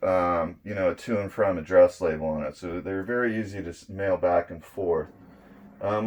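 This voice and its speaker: A middle-aged man giving a casual, unhurried hands-on demonstration, explaining each step as he goes. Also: fairly low pitch, a little mellow. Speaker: presenter of electronics demo videos. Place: indoors at a draped table.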